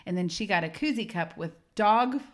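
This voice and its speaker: A woman's voice: medium pitch, expressive, moderate pace. A woman co-hosting a podcast in casual chat, explaining things at length with frequent restarts. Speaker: podcast co-host.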